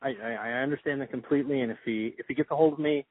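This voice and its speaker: A man's voice: medium pitch, rapid-fire, no echo. A man interviewing casually, effusively polite, often stammering and repeating his words as he goes. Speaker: interviewer and podcast host. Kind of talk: casual phone interview chat. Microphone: phone or call line. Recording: tinny, dry phone-like line.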